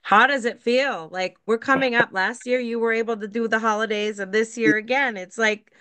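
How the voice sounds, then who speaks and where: A woman hosting an interview, asking questions in a conversational, gently prompting way. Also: a little high, steady tone, normal speed, clean tone. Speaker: interviewer host.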